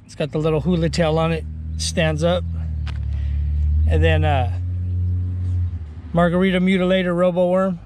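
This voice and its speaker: A man with a somewhat high voice talks offhandedly on a small boat out on the water, filmed with an action camera. Faint water and boat noise sit behind him. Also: moderate pace, pauses often. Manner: relaxed, offhand bait rundown with fillers. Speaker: fishing vlogger. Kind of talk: casual fishing vlog. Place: small boat outdoors on a river delta.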